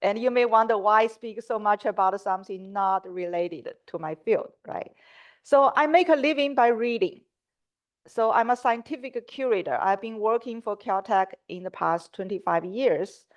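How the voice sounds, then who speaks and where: A woman's voice: mid-range voice, normal speed. A middle-aged woman from China, a native Mandarin speaker with a Mandarin Chinese accent, giving a prepared lecture as a symposium speaker. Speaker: symposium speaker, scientific curator.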